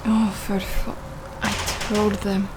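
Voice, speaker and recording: A woman's voice: mid-range voice, level tone, takes it slowly, very noisy, lightly acted. A woman playing a tired goth nightclub worker with a Scottish accent, sardonic but tender, speaking intimately to the listener in an audio roleplay. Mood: exasperated. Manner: sardonic, muttering, softly cooing, sighing. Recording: rain and city ambience behind voice. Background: rain on surfaces, thunder, city ambience.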